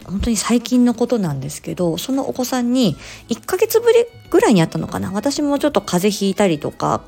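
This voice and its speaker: A woman speech therapist telling stories in a casual, expressive solo chat, acting out short quotes as she explains. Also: on the high side, fairly quick, vocal fry, faint music behind. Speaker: speech-language therapist hosting an audio diary.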